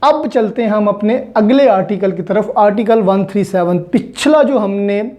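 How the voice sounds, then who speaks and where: A woman's voice: mid-range voice, normal speed, warm tone, highs cut off. A woman with a Pakistani accent giving an explanatory law lecture, mixing in English terms and repeating points in a didactic way.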